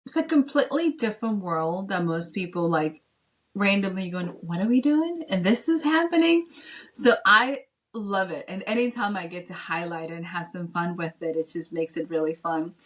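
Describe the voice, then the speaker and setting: A woman from the Dominican Republic and Massachusetts chatting casually as a guest author, with an animated storytelling manner. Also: fairly low pitch, moderate pace. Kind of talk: casual interview chat.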